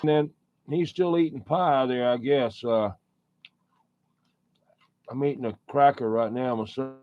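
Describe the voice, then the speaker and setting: A man's voice: medium pitch, measured pace. A man chatting casually as a CB radio operator, relaying calls in folksy radio lingo. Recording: tinny phone-like sound, clear background noise.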